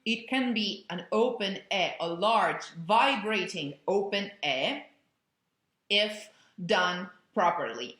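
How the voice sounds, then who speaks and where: Woman, opera coach, animated bright teaching voice, echoey room. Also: mid-range voice, takes it slowly. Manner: expressive, imagery-rich teaching. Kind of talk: vocal lesson explanation. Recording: roomy echo.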